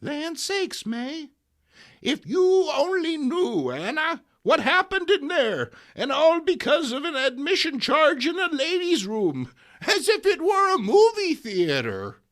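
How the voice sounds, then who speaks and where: Man doing a feisty old lady crusader, very high voice, bright, exclamatory, old-fashioned, comic book reading. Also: sing-song, slow pace, full character voice. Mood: scandalized. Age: older. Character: feisty, righteous old woman crusader, sweet but indignant.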